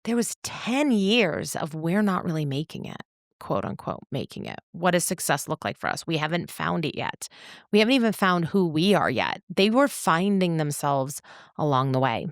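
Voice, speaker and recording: A woman co-hosting a podcast, talking reflectively in an earnest, deliberate way with emphatic phrasing and pauses. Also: low-pitched, sing-song, no room sound, studio quality. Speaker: podcast co-host.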